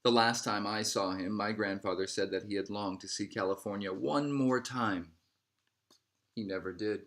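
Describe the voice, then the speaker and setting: A man reading a picture book aloud as a narrator, in measured phrases that settle at the ends. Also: fairly low pitch, fairly bright tone. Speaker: storybook reader.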